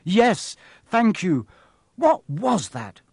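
A man's voice: high voice, sing-song, very slow, darkish tone, no room sound, clean studio sound, voice pushed up, exaggerated voice. A man voicing a young schoolboy wizard, shaken and curious, asking questions in an earnest way.

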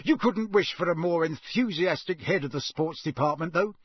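A man's voice: on the high side, normal speed, slightly rough, no room sound, clean studio sound. A man voicing a kindly, flustered middle-aged wizard father who talks politely and fumbles nervously. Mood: genial.

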